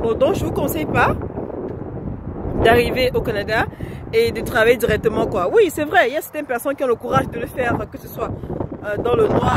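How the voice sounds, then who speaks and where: A woman with the flu vlogging outdoors by a lake, chatting casually and rambling with many fillers and self-corrections. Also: fairly high pitch. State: has the flu. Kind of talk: casual vlog advice. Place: outdoors by a lake in freezing wind. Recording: loud wind noise outdoors.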